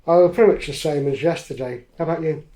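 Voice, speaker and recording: A man's voice: fairly high pitch, fast talker, warm tone, close to an everyday voice. Actor as a mild, polite supportive man. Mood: curious. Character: mild, supportive young man home from office work. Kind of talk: radio drama acting, domestic dialogue.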